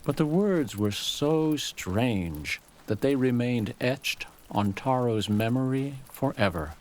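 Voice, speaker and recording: A man reading a scripted dramatic story as a storyteller, in a measured, literary manner. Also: medium pitch, animated, darkish tone.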